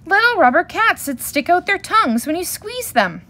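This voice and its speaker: A woman voicing young storybook bear cubs, whining, shouting and pleading greedily. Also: very high voice, big pitch swings, normal speed, voice pushed up, full character voice. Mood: excited. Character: whiny young bear cubs, brother and sister, begging greedily.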